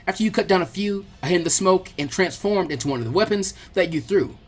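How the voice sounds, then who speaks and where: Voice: mid-range voice, fast, faint music behind, lightly acted. A storyteller voicing a good-natured, cocky teenage boy, talking casually and a little boastfully. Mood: impressed, casual.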